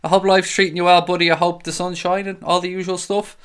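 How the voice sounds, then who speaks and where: A man's voice: sits high, little pitch movement, quick pace, fairly bright tone, smooth. Chatty man hosting a fan stream. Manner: friendly, chatty, greets viewers by name. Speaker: host of a fan live stream. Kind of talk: casual live-stream chat, reading out viewer comments. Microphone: desk microphone.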